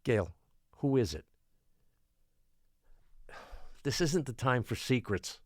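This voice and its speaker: A man playing an exasperated, forceful small-town mayor in an audio drama, speaking bluntly and officially. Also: mid-range voice, sing-song, normal speed, no room sound, studio quality. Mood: urgent, impatient. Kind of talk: dramatic podcast acting.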